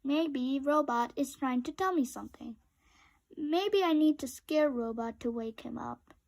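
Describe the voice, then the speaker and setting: A woman voicing a fussy, excitable young male rabbit in a children's storybook, exclaiming and wailing in exasperation. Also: high-pitched, a little slow, vocal fry, no room sound. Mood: pondering.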